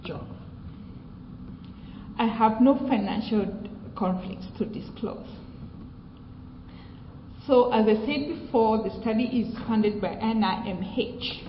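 A woman from Kenya with a British accent, giving a measured academic presentation with fillers and restarts. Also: mid-range voice. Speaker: research presenter. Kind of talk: academic conference talk. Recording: echoey hall, dull sound, faint noise.

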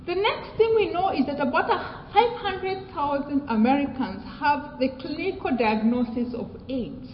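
A woman from Kenya with a British accent, giving a measured academic presentation with fillers and restarts. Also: sits high, lively intonation. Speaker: research presenter. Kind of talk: academic conference talk. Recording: echoey hall, dull sound, faint noise.

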